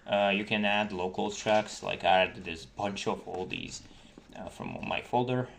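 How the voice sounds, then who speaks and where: Low-voiced man in a halting tutorial. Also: creaky, a bit bright. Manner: halting, informal explaining. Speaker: game developer giving a tutorial. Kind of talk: casual screen-recorded tutorial. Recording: noisy desktop mic with key clicks. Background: keyboard typing and mouse clicks.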